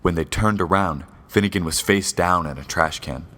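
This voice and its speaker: Very deep male radio-serial narrator. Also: normal speed, sharp tone. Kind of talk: dramatic scripted narration of old-time radio serial.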